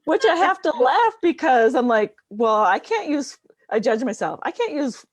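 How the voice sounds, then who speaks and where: A woman on a video call chatting casually about her spiritual work, laughing often and poking fun at herself, with a slightly raspy voice. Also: sits high, sing-song, moderate pace, no room sound. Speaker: guest, light language channeler. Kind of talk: casual chat.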